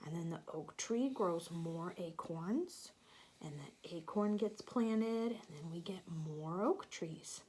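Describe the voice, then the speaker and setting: A woman's voice: on the low side, slow pace, a little mellow, slightly rough. A woman teacher reading a picture book aloud to young children, stopping to explain things and ask them questions.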